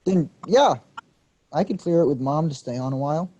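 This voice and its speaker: A man's voice: fairly high pitch, normal speed, warm tone, lo-fi sound, close to an everyday voice. A man reading the part of a polite, earnest teenage nephew, a little unsure of himself. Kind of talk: staged play reading.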